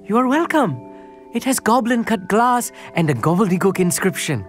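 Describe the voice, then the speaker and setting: A man's voice: high-pitched, sing-song, moderate pace. A man playing an eager, self-important teenage boy astronomer in a video game, wordy and full of enthusiasm. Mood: boastful, chatty. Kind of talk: video game cutscene acting. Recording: music behind the voice.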